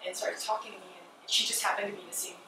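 A woman's voice: lowish voice, steady tone, very fast, sharp tone. Chatty woman from Malaysia, echoey room. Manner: chatty, many fillers like "like" and "you know". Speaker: guest, skincare founder. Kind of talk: casual interview chat. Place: living room, seated on a sofa. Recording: echoey room from a distance, noticeable room noise.

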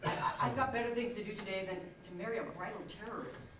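A young man acts out a bewildered, hesitant bachelor in a stage play. He speaks haltingly and uneasily, stumbling over words. The recording is distant, very echoey and noisy. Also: sits high, lightly acted. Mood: uneasy. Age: young adult. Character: hesitant, bewildered single man cornered by a bride. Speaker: high school stage actor. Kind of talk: live one-act comedy stage acting. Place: school theatre stage. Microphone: far camera. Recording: echoey noisy hall, distant camcorder microphone.

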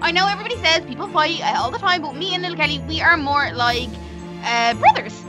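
A woman's voice: high-pitched, fast talker, over music, natural voice. A woman voicing a hot-headed, emotional young woman twin in a roleplay, rambling in a breathless, gushing way. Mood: upset.

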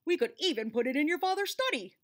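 A woman voicing a big jolly male imaginary creature from a storybook, silly and exuberant. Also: quick pace. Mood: eager. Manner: booming, excitable, silly.

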